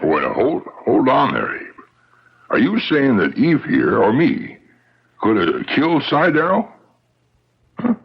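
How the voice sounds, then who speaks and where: A man playing a folksy small-town friend in an old radio mystery, husky and expansive, given to stammering bluster. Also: medium pitch, huge pitch swings, slow pace, long pauses, full character voice. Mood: blustering, offended. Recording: dull, band-limited old radio recording.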